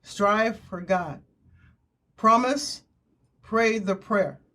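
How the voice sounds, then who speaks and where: A woman's voice: mid-range voice, extremely slow, fairly bright tone. An older woman giving an earnest spoken testimony in short, emphatic, preacherly phrases. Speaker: author giving her testimony.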